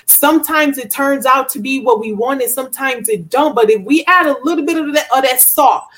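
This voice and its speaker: A woman's voice: high-pitched, a little fast, a bit bright. A young woman speaking as a guest author in a conversational, inspirational style, earnest and preacherly, repeating phrases for emphasis. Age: young adult.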